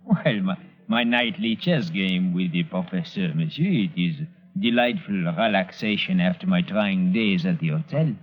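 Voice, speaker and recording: An actor playing a courteous, excitable French hotel keeper with a French accent and effusive manners in a radio drama. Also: male voice, low-pitched, normal speed, few pauses, faint music behind, natural voice. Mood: welcoming. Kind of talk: 1940s radio acting. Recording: dull vintage radio recording.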